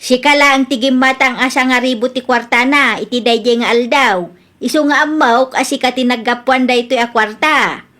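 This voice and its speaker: A woman's voice: high voice, fairly even intonation, normal speed, fairly bright tone. A woman playing a suspicious, insistent middle-aged village aunt in a comedy radio drama, with a nagging, scolding manner. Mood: confronting.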